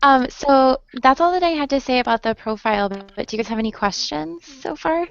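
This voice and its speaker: A woman's voice: sits high, animated, a little fast, creaky, smooth. A young woman leading an online screen-share training, friendly, with many "um" fillers, heard over a web call. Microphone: computer mic on a video call. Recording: dull lo-fi web call audio.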